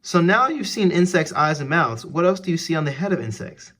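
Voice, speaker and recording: A man's voice: fairly high pitch, big pitch swings, a little fast, fairly bright tone. A man reading an educational text aloud for children as a teacher, putting questions directly to the listener.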